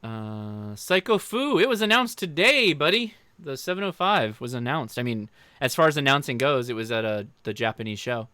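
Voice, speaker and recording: A man's voice: mid-range voice, sing-song, normal speed, few pauses, sharp tone, smooth, dry recording. An American man hosting a casual livestream, informally joking and talking directly to viewers as he answers their questions. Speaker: livestream host.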